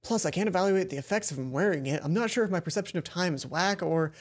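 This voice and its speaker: A man reading the lines of an excited young male student inventor from a visual novel, fast and earnest. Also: sits high.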